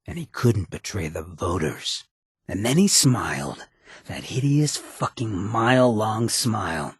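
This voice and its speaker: Very raspy streetwise ghoul rogue. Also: male voice, lowish voice, very animated, unhurried, no room sound, clean studio sound, full character voice. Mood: bitter, regretful. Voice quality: raspy, gravelly. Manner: streetwise, colloquial, swearing drawl. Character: roguish ghoul man, streetwise companion, blunt but loyal. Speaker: companion character in a video game. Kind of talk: video game dialogue acting.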